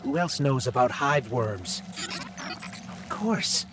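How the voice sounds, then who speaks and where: A man's voice: mid-range voice, very animated, takes it slowly. A man playing an earnest, protective companion in a video game, speaking in a frank, conversational and concerned way. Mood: worried. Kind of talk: video game dialogue acting.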